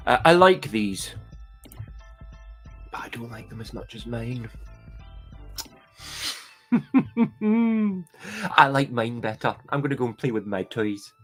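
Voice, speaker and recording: A man's voice: medium pitch, takes it slowly, pauses often, fairly bright tone, soft background music. A man with a light Scottish accent chatting casually to his viewers as a vlogger, rambling and hesitant with many restarts. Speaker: toy and claw machine vlogger.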